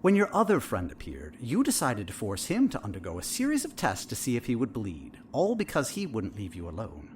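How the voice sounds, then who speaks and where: A probing therapist in an audio drama, acted by a man, with a wildly animated, swooping delivery. He is smug and insinuating, needling with leading questions as if he knows everything. Faint noise sits behind the voice. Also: medium pitch, a little fast, few pauses. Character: probing, needling male therapist, smug and eerily all-knowing. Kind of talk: audio fiction dialogue.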